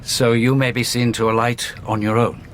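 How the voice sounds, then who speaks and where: A man's voice: mid-range voice, flat delivery, moderate pace, a little hiss or hum, natural voice. A man playing a dry, wry police inspector. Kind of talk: radio drama acting.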